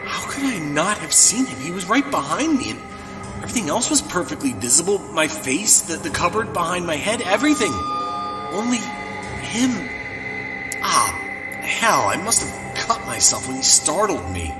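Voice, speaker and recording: A nervous young agent, stammering. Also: male voice, high voice, big pitch swings, normal speed, far from the mic, over music. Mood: shaken. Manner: hesitant, stammering. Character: nervous young male traveling land agent. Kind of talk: audio drama acting.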